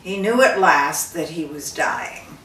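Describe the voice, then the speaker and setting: Older woman reading a story, slow, roomy. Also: low voice, expressive, pushed and bright. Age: older, 60s or 70s. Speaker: storyteller, reader. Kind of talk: expressive read-aloud storytelling. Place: living room by a fireplace. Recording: roomy echo, distant microphone.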